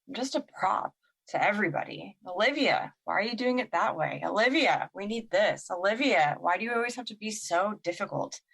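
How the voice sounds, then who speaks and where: Woman playing weary, neglected young woman, gravelly creak. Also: mid-range voice, a bit bright. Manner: sighing, wounded soliloquy. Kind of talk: audio drama acting.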